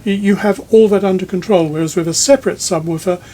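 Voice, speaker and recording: A man with a noticeably high-pitched voice leads a technical interview. He talks conversationally, choosing his words thoughtfully and pausing mid-phrase as he builds each question. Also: dark tone. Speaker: magazine editor interviewing a speaker designer. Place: listening room in a home.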